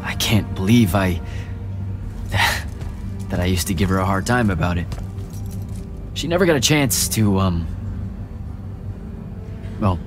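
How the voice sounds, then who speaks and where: An actor playing an earnest young soldier hero in a video game, plain-spoken and informal. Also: male voice, sits low, animated, measured pace, pauses often. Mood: halting, sorrowful. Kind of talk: naturalistic video game acting. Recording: music clearly behind the voice.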